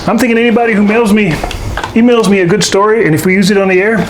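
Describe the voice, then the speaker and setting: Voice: medium pitch, fairly even intonation, very fast, background noise. A folksy, jokey host casually talking through a baking demonstration to camera. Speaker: host of a paranormal show doing a baking segment.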